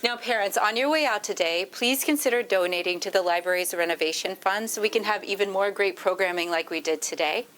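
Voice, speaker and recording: An actress playing a cheerful, polite librarian who addresses children and parents like a public announcer in a video game. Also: female voice, medium pitch, a little fast, few pauses, close to an everyday voice. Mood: cheerful, welcoming. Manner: bright public-announcement delivery. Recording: faint noise of amateur tape recording.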